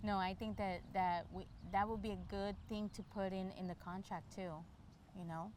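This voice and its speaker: A middle-aged woman from El Salvador giving casual, candid interview answers, thinking aloud in halting, restarted phrases. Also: mid-range voice, fairly even intonation, darkish tone, slight background noise. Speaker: interview guest, law enforcement candidate for office.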